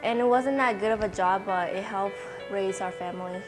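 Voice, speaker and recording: A woman's voice: mid-range voice, moderate pace. A young woman from Thailand, telling her family's story plainly. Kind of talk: personal interview testimony. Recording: music bed under the voice.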